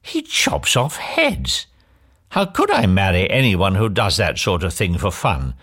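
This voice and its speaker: A man's voice: low-pitched, huge pitch swings, unhurried, fairly bright tone, slightly rough, exaggerated voice. A man voicing a plucky, exasperated young Cinderella in an earnest, exclamatory storybook style. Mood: dismayed.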